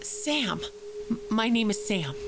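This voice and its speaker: A woman's voice: fairly high pitch, sing-song, slow, heavily acted. A woman playing a frightened, agitated, sleepless therapy patient, stammering and hesitant, in an audio drama. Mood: nervous. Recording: noisy old cassette tape with hum.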